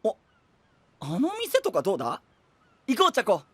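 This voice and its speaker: A man voicing a cheerful, carefree young traveler with a boisterous, naively confident manner in an animated audio drama. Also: high-pitched, moderate pace, breathy edge, dry recording, full character voice. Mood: upbeat. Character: cheerful, food-loving, carefree young male traveling companion. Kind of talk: animated Japanese voice drama acting.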